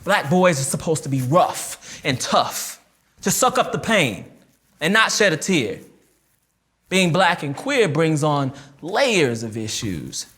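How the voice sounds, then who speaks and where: An actor playing a wounded, reflective man recounting a painful childhood memory in a theatrical memoir monologue, with deliberate pauses. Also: on the high side, sing-song, a bit bright, exaggerated voice. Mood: reflective, sombre. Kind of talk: filmed dramatic monologue reading, first-person memoir.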